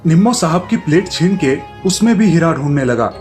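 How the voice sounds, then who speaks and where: A man narrates a scripted children's tale as the storyteller. His voice sits a little high for a man. Light music runs behind him. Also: lively intonation, a little fast, darkish tone.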